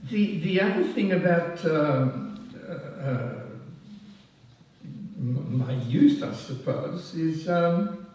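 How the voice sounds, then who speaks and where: An older man, born in France, giving a halting, self-deprecating speech at a ceremony, full of ums and restarts. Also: a little high, expressive, darkish tone. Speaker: past president honoured at a society oration. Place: large hall at a public event. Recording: echoey hall, voice from far off. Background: audience laughing.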